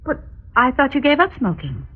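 An actress playing an anxious, devoted wife in an old radio drama, speaking earnestly and pleadingly in formal diction with a husky voice. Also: female voice, high-pitched, very animated, moderate pace, exaggerated voice. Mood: concerned. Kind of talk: mid-century radio drama acting. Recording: dull, lo-fi old radio recording.

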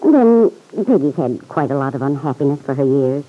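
An actress playing a warm, forgiving middle-aged small-town mother in an old-time radio serial. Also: rumbling low, animated, moderate pace. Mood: gentle, concerned. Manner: gentle, forgiving, chuckling. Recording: vintage radio recording, muffled.

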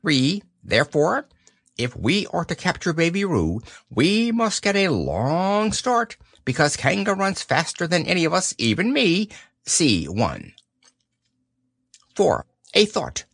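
A man voicing a bossy, self-important male rabbit who reads out his plan briskly. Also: a little high, huge pitch swings, creaky, a bit bright, slightly rough, no echo, studio quality, pitched above the performer's own voice, full character voice. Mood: pompous.

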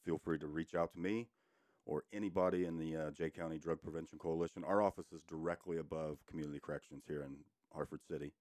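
Very deep-voiced man, dry. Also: fairly even intonation, fast talker, a little mellow, dry recording. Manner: earnest, joking, talks in restarts. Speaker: guest, recovery coach. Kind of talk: casual podcast chat. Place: podcast room.

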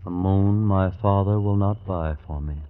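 A low-pitched, brooding young man full of discontent and schemes in an old radio drama. His speech is archaic and theatrical. The recording is thin and boxed-in, like a telephone. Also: fairly even intonation, measured pace, phone-quality sound. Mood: sullen. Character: scheming, discontented young dreamer, son of a miser.

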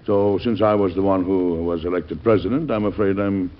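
Actor as genial, low-voiced American president. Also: male voice, normal speed, natural voice. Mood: gently firm. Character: genial, big-hearted, stout American president, folksy and firm. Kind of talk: old-time radio drama acting. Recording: dull, band-limited old radio recording.

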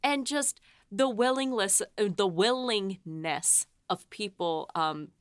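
A woman's voice: medium pitch, slow, smooth, no room sound, clean studio sound. A woman chatting casually and emphatically as a podcast host about behind-the-scenes work, peppering her talk with "like". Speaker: podcast host and production coordinator.

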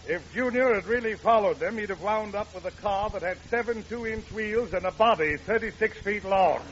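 A man's voice: sits high, moderate pace, lightly acted. An actor playing a dry, exasperated man in a radio comedy, precise and sardonic. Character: exasperated, dry, matter-of-fact man judging a father's blueprint. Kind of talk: 1940s radio comedy acting. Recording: dull, hissy 1940s radio recording.

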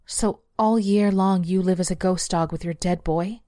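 A woman's voice: high voice, lively intonation, measured pace, no room sound, clean studio sound, voice pushed up. A woman voicing a frightened but defiant fourteen-year-old girl in a horror story, pleading urgently.

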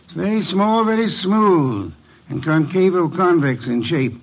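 An actor playing a pompous, exacting middle-aged detective boss in an old-time radio drama, with formal, precise diction and a gravelly voice. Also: male voice, high-pitched, expressive, a little slow, rough recording. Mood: deliberating.

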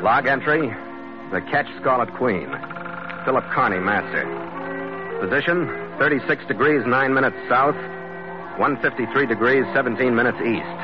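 An actor playing a laconic, steady sea captain narrating his adventures in 1940s radio drama, clipped and matter-of-fact. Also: mid-range voice, moderate pace, close to an everyday voice. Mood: brisk, official. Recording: muffled old radio recording, music behind.

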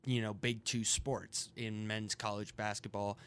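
A man's voice: lowish voice, flat delivery, unhurried, a bit bright, clear, resonant tone. A man on a sports talk panel, arguing his point in casual, unscripted debate.

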